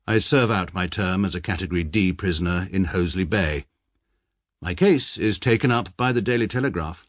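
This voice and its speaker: A low-voiced, pompous English barrister narrates in a formal, pedantic, deadpan manner. He sounds middle-aged and utterly certain of his own logic. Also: moderate pace, no echo, clean studio sound. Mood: dry. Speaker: narrator and lead character. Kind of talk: radio drama narration.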